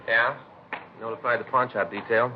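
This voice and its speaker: An actor playing a plainspoken police detective partner in a vintage radio crime drama, conversational and matter-of-fact. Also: male voice, mid-range voice, steady tone, normal speed, lightly acted. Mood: businesslike. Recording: muffled, faintly noisy vintage radio recording.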